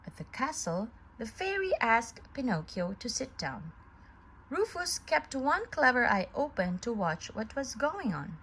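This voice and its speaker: Animated woman reading a children's story slowly. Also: on the high side, a little hiss or hum, poor audio. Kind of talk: children's story read aloud.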